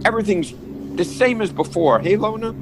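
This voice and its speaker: A man's voice: high voice, animated, fairly quick. An actor playing an anxious, blustering Hollywood man, stammering and insistent, in a classic 1940s-style radio drama. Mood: anxious reassurance. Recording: clear engine noise behind.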